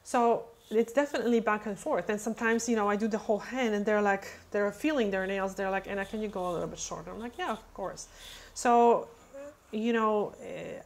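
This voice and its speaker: A woman's voice: mid-range voice, slight background noise. A woman in her thirties, a nail technician whose first language is not English, talking casually into a webcam and acting out chats with clients, with many fillers. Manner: chatty, acts out client dialogue, many fillers. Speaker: nail technician answering questions. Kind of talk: casual explanatory talk. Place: indoor room, webcam over screen.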